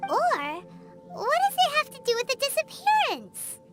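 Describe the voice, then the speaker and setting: A tiny childlike game fairy with an extremely high, squeaky voice. The delivery is curious and excitable, swinging wildly in pitch, with loud music behind it. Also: female voice, a little slow, full character voice. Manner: exclaiming, inquisitive. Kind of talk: video game voice acting. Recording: music playing over the voice.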